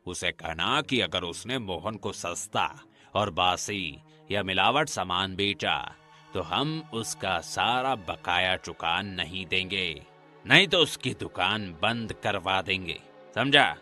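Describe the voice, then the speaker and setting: Man doing a cartoon village villain, arrogant, bossy father, sneering, forceful edge. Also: sits low, animated, takes it slowly, faint music behind, pitched below the performer's own voice, heavily acted. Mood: conspiratorial. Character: scheming, arrogant village pandit, a middle-aged father.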